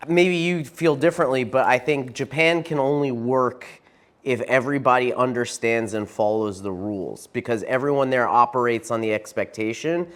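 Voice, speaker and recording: A man hosting a podcast in casual chat, with a dry, deadpan, joking manner, speaking into a lapel mic. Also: mid-range voice, moderate pace. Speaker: podcast host.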